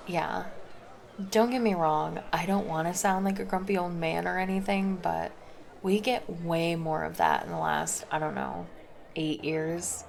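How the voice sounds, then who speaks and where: A relaxed, flirty lifeguard, as a woman plays her with a low, gravelly voice, drawling slowly and teasing between easygoing remarks. The ASMR roleplay sits over loud beach ambience. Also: slight background noise. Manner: casual, teasing, easygoing chatter.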